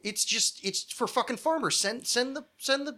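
A man's voice: very high voice, moderate pace, sharp tone. A man hosting a podcast, chatting casually in an opinionated, nerdy, swearing rant. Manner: ranting, profane, nerdy. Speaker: podcast host.

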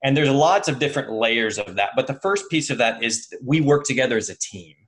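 An earnest man in his thirties talking through a personal story as a guest. He speaks at a middling pitch, in halting phrases with frequent restarts and fillers. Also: expressive, a bit bright. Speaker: interview guest. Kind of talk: reflective conversational interview.

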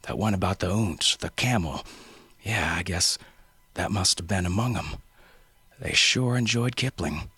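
A man doing a big gruff trader. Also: deep voice, measured pace, fairly bright tone, dry recording, exaggerated voice. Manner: grunting, blunt.

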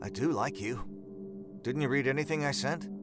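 Clingy male suitor played by a narrator, hushed and coaxing, audio horror drama, music behind. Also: mid-range voice, normal speed, lightly acted. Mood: insistent, hushed. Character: clingy, insistent young man pursuing a woman.

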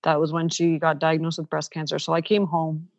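A Canadian woman in her thirties whose first language is Ukrainian, telling her story candidly on a podcast with quick asides. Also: low-pitched, completely flat delivery, no room sound, muffled recording. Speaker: podcast co-host. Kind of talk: casual podcast conversation.

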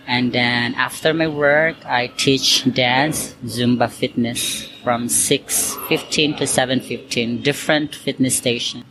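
A man from Cebu talks at an unhurried, drawn-out pace, his phrasing loose and chatty with constant small fillers. His voice sits at an ordinary middle pitch for a man. The recording carries a clear layer of background noise under him. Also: fairly even intonation. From Philippines, Cebu. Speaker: interviewee, accountant and Zumba instructor. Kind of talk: casual interview answers. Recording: noisy background hiss.

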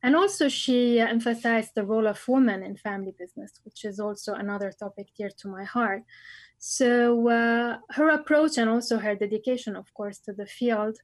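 A woman's voice: fairly high pitch, normal speed, no echo, rough recording. A middle-aged woman from Lebanon, a family business scholar, answering interview questions thoughtfully with frequent fillers and restarts.

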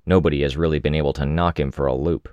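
A man voicing a stoic, stubborn hero and field leader in an audiobook, steady and plain-spoken. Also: rumbling low, fast talker, clear, resonant tone, dry recording. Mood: resigned.

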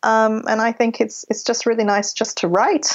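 A woman's voice: fairly high pitch, flat delivery, moderate pace. A middle-aged woman writer with a mixed British and Canadian accent, talking thoughtfully in an interview, often restarting her sentences. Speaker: writer guest. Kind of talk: interview conversation. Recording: dull lo-fi audio.

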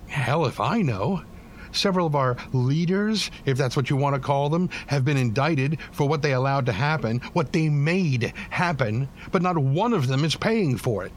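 A man playing a weary, bitter but devoted working-class widowed father in an audio drama, plain-spoken and halting. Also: mid-range voice, lively intonation, a little hiss or hum, natural voice. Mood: bitter, resigned. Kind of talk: naturalistic audio drama acting.

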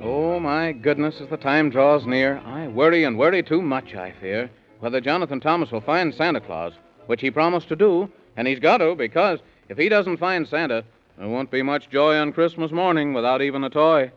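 A man's voice: fairly high pitch, expressive, moderate pace, soft background music. A man narrating a rhyming children's tale in a theatrical, sing-song manner, in 1930s radio style. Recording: muffled 1930s radio recording.

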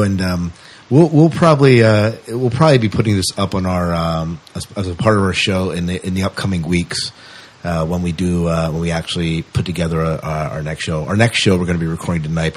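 Male podcast host, low-pitched and rough-edged, informal, loose conversational delivery with restarts. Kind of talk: casual interview chat.

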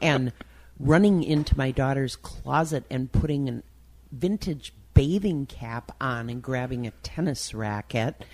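A woman's voice: extremely low pitch, measured pace, darkish tone. A woman, chatting casually and hesitantly as an interview guest. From Milwaukee, United States. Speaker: talk show guest, designer and writer.

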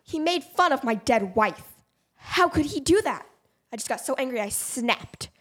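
Defensive comic-book villain, played by a young female, high-pitched, amateur radio drama. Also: lively intonation, moderate pace, a crowd in the background. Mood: angry confessing. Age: child or teen. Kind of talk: amateur youth radio play acting.

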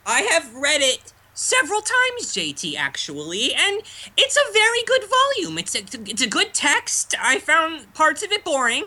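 A performer voicing a childish, self-important male Muppet fan being interviewed, talking in a deadpan way and repeating the host's name. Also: high voice, a little slow, heavily acted. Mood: chatty. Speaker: interview guest.

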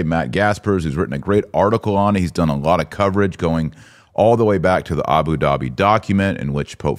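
A man hosting a conversational religious commentary podcast, often posing incredulous rhetorical questions. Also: rumbling low. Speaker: podcast host.

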